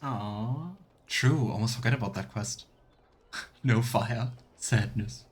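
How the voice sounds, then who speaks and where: A man's voice: lowish voice, slow. A man streaming a video game with casual let's play commentary, making wry, sarcastic asides.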